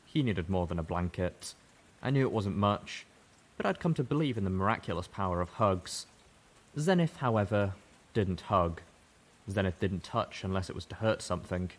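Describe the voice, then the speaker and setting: A man reading a story aloud in first person, steady and measured. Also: low voice. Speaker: audiobook reader. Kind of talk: first-person narration of fan fiction.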